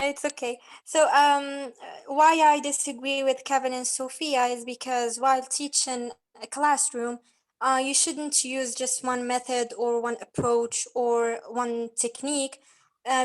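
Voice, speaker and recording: A woman from Morocco speaks up in an online teacher-training class, pausing often with ums and uhs as she works out her answer. Her voice is fairly high but stays flat in tone. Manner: hesitant, with many filler sounds.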